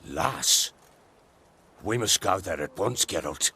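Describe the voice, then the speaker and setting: Haughty lord with wildly swinging pitch. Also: male voice, animated, takes it slowly, pushed and bright, slightly rough, full character voice. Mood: urgent, commanding. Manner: imperious, scornful, blustering. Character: haughty, brusque, foul-mouthed lord commanding soldiers. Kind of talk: video game voice acting.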